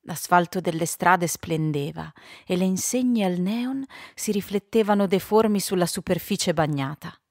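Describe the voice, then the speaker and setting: A woman's voice: fairly low pitch, moderate pace, darkish tone, no echo, clean studio sound. A woman reading a children's novel as scripted audiobook narration.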